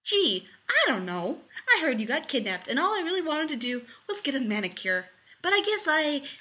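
A woman's voice: high-pitched, sing-song, moderate pace, sharp tone, voice pushed up, heavily acted. A woman voicing a blunt, sarcastic, unimpressed woman in a story reading, with exasperated delivery. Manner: sardonic, sighing exasperation.

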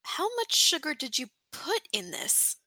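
A woman's voice: high voice, wildly swooping pitch, bright, forceful tone, dry recording, pitched above the performer's own voice, exaggerated voice. A woman reading an audiobook, voicing a nervous, fond male demon who halts and corrects himself as he talks. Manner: halting, fumbling, self-correcting. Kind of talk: fan audiobook character voice.

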